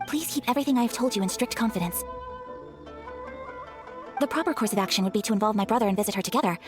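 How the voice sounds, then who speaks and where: An actress plays a young noblewoman in an anime game, gentle, formal and earnest. Her voice is on the high side and her polite phrases move quickly, with music clearly behind her. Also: lightly acted. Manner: formal, polite, carefully articulated. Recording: background music under the voice.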